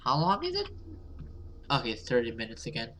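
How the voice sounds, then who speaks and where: A man's voice: mid-range voice, wildly swooping pitch, moderate pace, a bit bright, a little hiss or hum. A man giving casual let's play commentary and reading game text aloud. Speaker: gaming video host.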